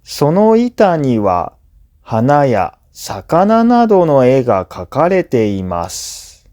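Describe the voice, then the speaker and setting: Slow, mellow male narrator. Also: mid-range voice, wildly swooping pitch. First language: Japanese. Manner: measured, clearly phrased explanatory delivery. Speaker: language teacher reading listening practice. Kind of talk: scripted educational narration.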